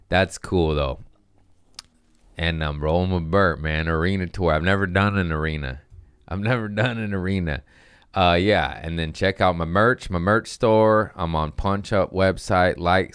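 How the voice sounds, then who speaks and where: A man's voice: low-pitched, clear, resonant tone, no echo, studio quality. A man, a comedian hosting his podcast, talking in a casual, crude, rambling way and breaking off mid-sentence to restart. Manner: crude, rambling, jokes at his own expense. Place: podcast studio.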